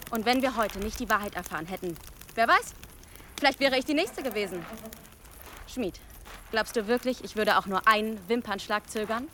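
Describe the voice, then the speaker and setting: A woman's voice: medium pitch, normal speed, bright, forceful tone, a little hiss or hum, lightly acted. An actress playing a fierce, defiant young village woman archer in a German radio drama, resolute and challenging. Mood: defiant, bitter.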